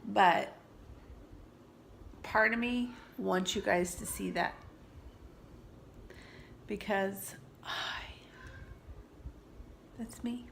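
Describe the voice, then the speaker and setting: A woman in her forties, stuffed up from allergies, talking softly and confidingly in a personal vlog, sometimes dropping to near-whispers. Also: medium pitch, extremely slow, vocal fry, pauses often, slight background noise. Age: 40s. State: congested from allergies. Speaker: vlogger. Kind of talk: reflective personal vlog talk. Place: sitting indoors at home.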